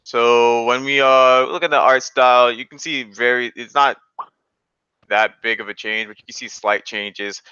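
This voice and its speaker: A man's voice: mid-range voice, fairly even intonation, normal speed, sharp tone, clean tone, no room sound, lo-fi sound. A man hosting a podcast and chatting casually and informally about anime, giving his opinions. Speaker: podcast host.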